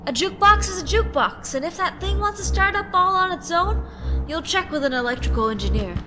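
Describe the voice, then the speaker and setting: A woman's voice: very high-pitched, lively intonation, a little fast, over music. A young woman playing a folksy diner counter worker in a school radio play. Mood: dismissive. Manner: chatty, folksy.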